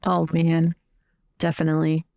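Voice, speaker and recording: A woman's voice: low-pitched, completely flat delivery, normal speed, gravelly, dark tone, no room sound, studio quality. A woman playing a tabletop roleplaying game, casually speaking in character and asking questions.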